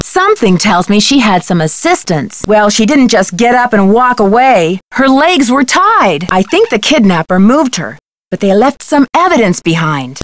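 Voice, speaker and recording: A woman's voice: a little high, no room sound. A woman voicing a plucky young amateur detective in a video game, earnest, inquisitive and determined. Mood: concerned.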